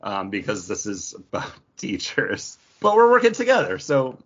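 A man reads quiz questions and chats casually as host. His voice sits low but swings widely in pitch. The sound is a little dull and lo-fi, like an internet call. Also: wildly swooping pitch, normal speed. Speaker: podcast host running a trivia quiz. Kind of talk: casual chat, quizmaster reading questions. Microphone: online voice call.

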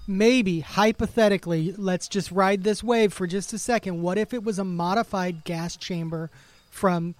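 A man's voice: high-pitched, normal speed, dry recording. A man co-hosting a podcast, chatting casually in a playful, musing way. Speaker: podcast co-host. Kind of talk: casual banter.

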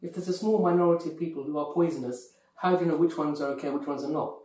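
Earnest male co-host from the UK, a bit higher-pitched, recorded distant in a very reverberant room. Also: steady tone, fast talker, a little mellow. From UK, of Pakistani heritage. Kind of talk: earnest conversational chat. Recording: echoey room, distant from mic.

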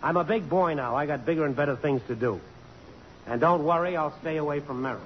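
A man's voice: on the high side, fairly quick, long reverb tail, close to an everyday voice. An actor playing a wry, proud, lovelorn American man with slangy, insistent delivery in a radio drama. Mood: bitter. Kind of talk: 1950s radio drama acting. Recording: muffled, hissy old radio broadcast.

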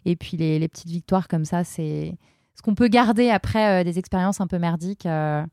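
A woman's voice: on the low side, normal speed, darkish tone, no echo, studio quality. A woman co-hosting a chatty podcast, playful and hesitant, stopping on fillers between jokes. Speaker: podcast co-host. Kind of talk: casual chat, reading listener messages.

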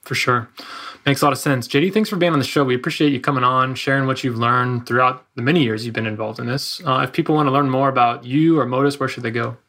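A man hosting a podcast interview, brisk and friendly in how he asks questions. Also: mid-range voice, fairly even intonation, pushed and bright. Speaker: podcast host.

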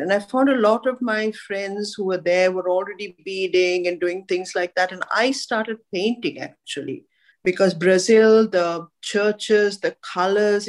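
A middle-aged or older woman from Sri Lanka, telling her story conversationally as a podcast guest. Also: medium pitch.

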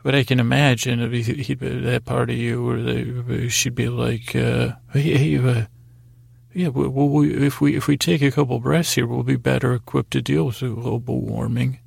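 A man hosting a bedtime podcast with a gravelly, creaky voice, rambling in a halting, repetitive, folksy and digressive monologue. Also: medium pitch, flat delivery, a little mellow, no room sound, studio quality. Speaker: podcast host.

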